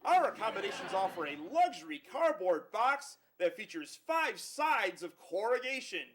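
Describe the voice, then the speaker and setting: A man playing a cheery pitchman narrating a spoof advert for a miserable summer camp. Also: sing-song, moderate pace, background noise. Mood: mock cheerful.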